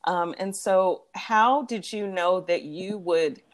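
A woman's voice: fairly low pitch, slow pace. A woman interviewing a poet in a casual conversation. She is affirming and chatty, and she asks long, winding questions.

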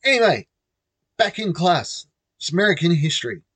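A man's voice: a little high, sing-song, moderate pace, a bit bright, slightly rough, no echo. Chatty Australian man telling a story. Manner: chatty, self-amused, acts out quoted voices. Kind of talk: casual personal storytelling.

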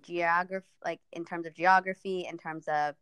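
A young woman from Ethiopia speaks as a podcast guest in a relaxed, thoughtful way, leaning on "um" and "like." Her voice sits low and smooth. The sound is dry and close. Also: moderate pace, no echo, highs cut off. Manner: thoughtful, frequent "um" and "like". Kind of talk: casual podcast conversation.

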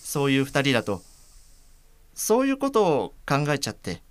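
Earnest, clear-voiced lovestruck blind man. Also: medium pitch, huge pitch swings, moderate pace, no echo. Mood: bashful, earnest. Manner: polite, earnest. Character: earnest, polite blind man shyly in love. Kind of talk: audio drama acting.

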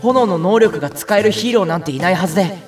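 High-pitched, friendly young man played by an actor. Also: expressive, fast talker, far from the mic, faint music behind. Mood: uneasy narration. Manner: chatty, comic, flustered quipping. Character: friendly, excitable ordinary young man, self-styled big brother. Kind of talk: Japanese audio drama acting with first-person narration.

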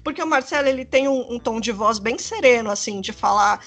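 Brazilian female podcast co-host, slightly high voice, relaxed conversational chat. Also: brisk. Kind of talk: casual chat.

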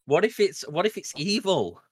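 A man's voice: sits high, moderate pace. A man co-hosting a podcast, chatting casually and ranting with lots of swearing. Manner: animated, swearing rants. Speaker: podcast co-host. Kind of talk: casual chat.

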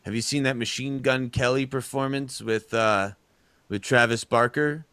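A man's voice: mid-range voice, fairly even intonation, moderate pace, a bit bright, smooth, no echo. A man co-hosting a casual talk show, rambling and chatty with lots of fillers. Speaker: podcast co-host. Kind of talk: casual chat.

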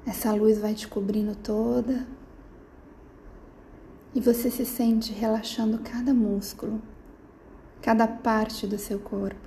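A woman guiding a sleep meditation in a slow, soft, soothing manner with gentle pauses, slipping into near-whispers. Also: on the high side, level tone, slight background noise. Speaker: meditation guide.

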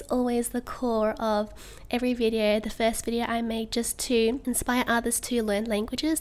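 A woman's voice: on the high side, darkish tone. A young woman from Australia, a podcast guest who chats in a bubbly way and laughs often. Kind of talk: casual interview chat.

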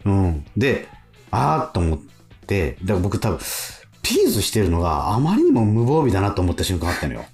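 A man co-hosting a radio show, telling anecdotes in a casual, animated storytelling way. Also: deep voice, a little fast, soft background music. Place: radio studio.

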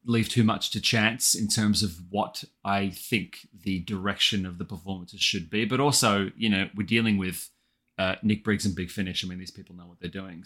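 A man's voice: on the low side, a bit bright. An Australian man chatting casually as an interview guest, effusive and colloquial about his writing work. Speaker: guest, audio drama writer.

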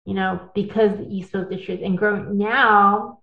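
A woman's voice: mid-range voice, fairly even intonation, measured pace, gravelly. A woman telling her own story in a casual interview, earnest and talkative, with tag questions and restarts. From born in the United States, partly raised in Taiwan. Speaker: guest. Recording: echoey, phone-like audio.

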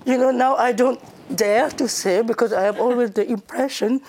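A woman's voice: a little high, normal speed, a little mellow, a little hiss or hum. Brief: woman politician from Senegal, non-native English, hesitant, restarts often. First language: Wolof or other languages of Senegal. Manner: hesitant, many fillers and restarts, laughs readily. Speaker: Member of the European Parliament, guest.

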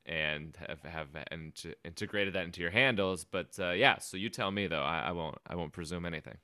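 Low voiced podcast guy, crisp dry sound, lots of uhs and ums. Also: normal speed, pushed and bright, dry recording. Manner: rambling, many fillers and restarts. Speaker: podcast host. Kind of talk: casual interview.

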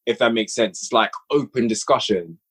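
Husky man chatting casually as a guest. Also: on the low side, normal speed. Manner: relaxed, rambling with many fillers. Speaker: guest, audio drama writer and producer. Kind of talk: casual podcast chat.

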